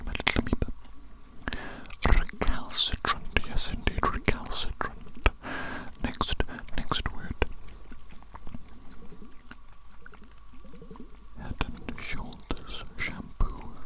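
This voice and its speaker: A performer playing a politely sinister, condescending doctor in an ASMR roleplay, whispering breathily in a deliberate, repetitive, formal way. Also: lightly acted, low-quality recording. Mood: quizzing, patronising. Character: evil doctor giving a hearing test, politely menacing, condescending.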